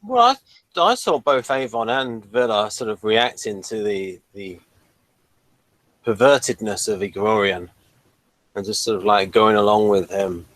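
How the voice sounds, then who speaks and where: Low-ish voiced guy chatting in a review podcast, dry sound no room. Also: lively intonation, a little slow, fairly bright tone, dry recording, rough recording. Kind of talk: casual review chat.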